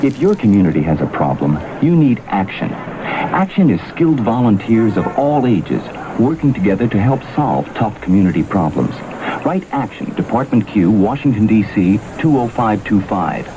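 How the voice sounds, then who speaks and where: A man's voice: on the low side, sing-song, normal speed. A man announcing a vintage public service advertisement in an earnest, emphatic manner. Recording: noisy vintage radio broadcast.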